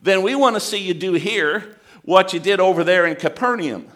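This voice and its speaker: A sneering, taunting townsman in a retold story, pitched well up and dripping with scorn, as a preacher puts him on. He talks down to his listener in a mocking, needling way. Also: expressive, normal speed, fairly bright tone. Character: scornful hometown crowd, adult men, mocking.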